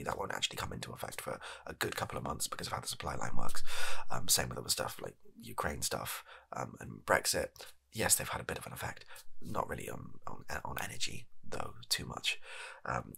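A man's voice: a bass voice, gravelly, pushed and bright, slightly rough, voice pushed down, exaggerated voice. A British man playing a flustered, shifty energy company chief, bumbling and evasive, in an improvised comedy sketch. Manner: bumbling, evasive, halting, put-upon. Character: flustered, shifty male energy company CEO recording an address.

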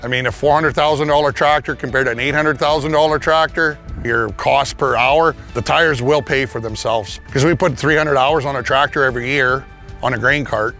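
A man's voice: mid-range voice, steady tone, moderate pace, bright, forceful tone. Middle-aged man, down-to-earth farmer, casual outdoor testimonial on a lapel mic, faint music behind. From Canada. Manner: plain-spoken, casual, with restarts. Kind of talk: testimonial interview. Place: outdoors on a farm yard.

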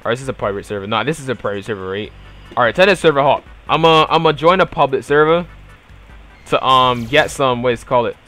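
A man's voice: mid-range voice, quick pace, bright, forceful tone, soft background music. A young man with a Bajan accent, chatting casually on a live game stream and answering his chat in loose, slangy banter. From Barbados. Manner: laid-back slangy banter, trailing off into mumbled fillers. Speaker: gaming livestreamer.

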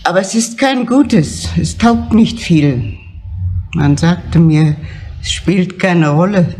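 A woman's voice: lowish voice, animated, slow pace, mellow, soft background music. Blunt middle-aged mother, a tavern keeper. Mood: blunt, guarded. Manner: blunt, guarded. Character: stubborn, worried mother running a Munich tavern. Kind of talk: German crime drama acting.

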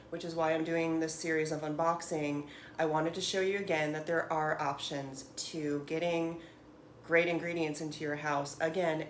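An American woman around forty chats enthusiastically and emphatically to camera, like a home cook showing off her groceries in a casual vlog. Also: sits low. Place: small home kitchen, indoors. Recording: clearly noisy home room recording.

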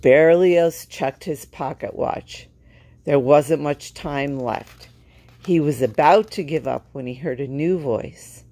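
Female storytime reader, low and gravelly, for children's picture books. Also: takes it slowly, warm tone, dry recording. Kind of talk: children's picture-book read-aloud.

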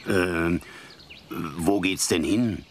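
A man's voice: low-pitched, very slow, slight background noise. A man playing a kind, huge grey elephant in a children's audio play, speaking in a hesitant, ponderous way. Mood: good-natured, helpful. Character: kind, slow, huge grey old-fashioned male elephant.

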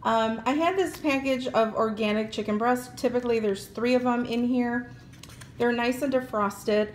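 A woman's voice: fairly high pitch, moderate pace, slight background noise. Friendly woman talking through a home recipe. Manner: friendly, explains steps plainly, with fillers. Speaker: cooking vlogger. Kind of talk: casual cook-along narration. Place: home kitchen.

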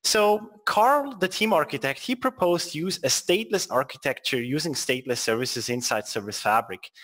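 A man's voice: mid-range voice, a bit bright. A man from Switzerland with a Swiss accent, presenting a technical webinar with joking asides and frequent restarts.

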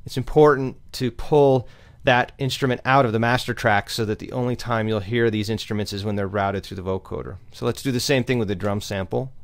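Low-pitched man narrating a tutorial. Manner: explanatory, instructional. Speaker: tutorial presenter. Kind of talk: step-by-step software tutorial narration.

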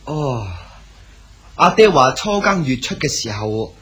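An actor with a Cantonese accent playing a dutiful adult son who speaks politely and deferentially. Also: male voice, mid-range voice, very animated, takes it slowly, fairly bright tone, husky, full character voice. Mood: respectful.

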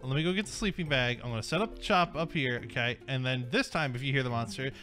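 A man's voice: mid-range voice, fast, bright, forceful tone. A man hosting and acting in a game roleplay, upbeat and playful with his friends. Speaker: host and roleplayer. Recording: game audio with music underneath.

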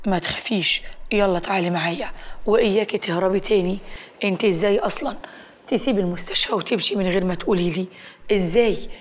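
A woman's voice: mid-range voice, quick pace, close to an everyday voice. A woman voicing a protective, stern male doctor in an audio story, with an Egyptian accent and urgent questioning. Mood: concerned, scolding.

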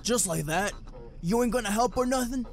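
Man as high-pitched, agitated cop. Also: normal speed, background noise. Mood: baffled, indignant. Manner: loud, agitated, streetwise. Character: alarmed, brash male city police officer.

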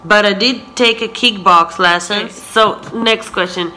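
Chatty young woman, bright voice. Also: mid-range voice, measured pace. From Israel. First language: Hebrew. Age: 20s. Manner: chatty, laughs often, frequent "so yeah". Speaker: vlogger. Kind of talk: casual question-and-answer vlog. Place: living room, sofa, indoors. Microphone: camera in front at close range.

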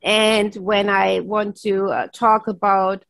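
A woman's voice: medium pitch, flat delivery, slow, gravelly, a bit bright, dry recording. A middle-aged woman whose first language is German, chatting cheerfully over a video call on a headset from a home office and laughing often. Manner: cheerful, laughs often, many yes and right tags. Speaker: keynote speaker. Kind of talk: casual video-call chat.

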